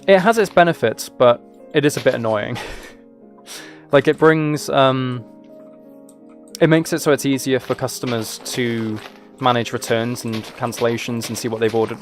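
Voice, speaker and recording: A man with an average-pitched voice talks casually as he plays a game on stream. He rambles and thinks out loud, moving between chat and small observations. Music plays behind his voice. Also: moderate pace. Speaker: livestreamer.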